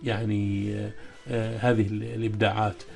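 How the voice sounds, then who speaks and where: A male poet interviewed as a guest, speaking hesitantly and then reciting his poems in a slow, chanting cadence. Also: lowish voice, flat delivery, gravelly, a little mellow, faint music behind.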